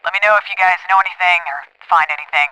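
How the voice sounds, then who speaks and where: A woman playing a brisk manager leaving a message through a radio or phone filter in an audio drama. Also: on the low side, fairly even intonation, pushed and bright, clear, resonant tone, no room sound, poor audio. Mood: brisk, strained.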